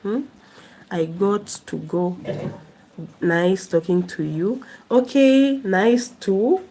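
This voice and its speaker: A woman's voice: medium pitch, slow, warm tone, rough recording. A woman from Kenya, hosting a casual live stream, chatting and laughing as she answers viewers' comments.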